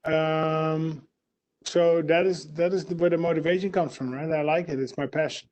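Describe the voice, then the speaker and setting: A man's voice: on the high side, steady tone, normal speed, a little mellow. A man with a Dutch accent, a fighter answering press questions in short, plain answers full of fillers. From Netherlands.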